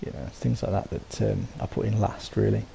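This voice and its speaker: Croaky low man with gravelly voice. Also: steady tone, brisk, warm tone, slight background noise. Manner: rambling, full of ums and restarts. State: sore throat, croaky. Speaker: game settlement builder. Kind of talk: casual voice-over walkthrough.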